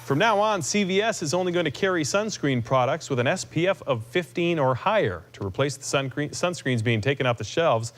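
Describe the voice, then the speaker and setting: A man's voice: fairly high pitch, animated, a little fast, hardly any pauses. An American man reading scripted news items as an anchor.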